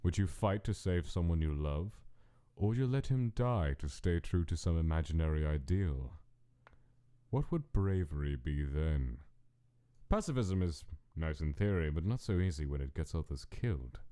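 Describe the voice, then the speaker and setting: A man playing a cold, controlling mad scientist in a dramatic comic dub, speaking in a deliberate, stern, interrogating way. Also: rumbling low, expressive, mellow, smooth. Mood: cold, probing. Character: cold, menacing male mad scientist, controlling and coolly probing.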